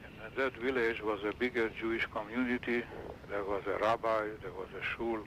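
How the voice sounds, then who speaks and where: A man in his seventies from Romania recounts his early life in a low, flat voice that barely rises or falls. He talks haltingly and restarts his sentences as he hunts for words. A faint hiss sits under the recording. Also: low-quality recording. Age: 70s. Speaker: interviewee. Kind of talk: recalling childhood memories.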